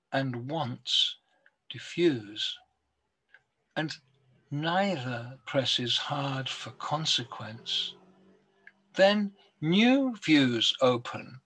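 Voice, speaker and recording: Older man, poetry recital, bright forceful tone, raspy, deliberate phrasing, long pauses. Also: a little high, poor audio. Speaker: poet reading own poems.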